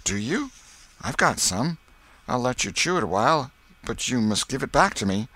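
Man putting on a timid little schoolgirl, raised pitch. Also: big pitch swings, normal speed, sharp tone, no echo, exaggerated voice. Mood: sweet. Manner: timid, hesitant. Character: shy, sweet, naive little schoolgirl.

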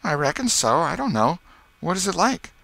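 A man's voice: on the high side, sing-song, normal speed, creaky, bright, forceful tone, dry recording, pitched above the performer's own voice, exaggerated voice. A man voicing a shy, sweet, naive little schoolgirl in a storybook, with a timid, hesitant manner.

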